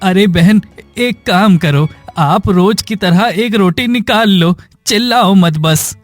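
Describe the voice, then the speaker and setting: Man playing devout poor labourer, meek manner, raised pitch, slow speech, cartoon moral story. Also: dry recording, soft background music. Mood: meek, resigned. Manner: meek, earnest.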